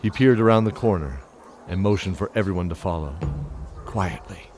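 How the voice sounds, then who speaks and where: Low-voiced man narrating a fantasy drama. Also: normal speed, a little mellow.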